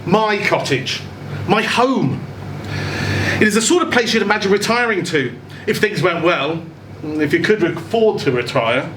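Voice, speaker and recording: A man giving an expressive live reading of a first-person short story. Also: high-pitched, unhurried. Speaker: story reader. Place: indoor event venue with audience. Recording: echoey room, clear background noise.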